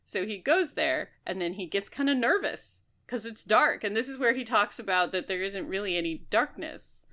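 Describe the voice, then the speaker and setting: A woman's voice: mid-range voice, expressive, moderate pace, few pauses, sharp tone. A woman co-hosting a podcast, chatting casually as she talks through a book. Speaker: podcast co-host.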